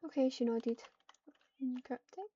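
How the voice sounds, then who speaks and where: A woman's voice: high-pitched, a little slow, dark tone. A woman casually voicing online game roleplay, reading out narration and dialogue lines. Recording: dull mic, distant, clear background noise.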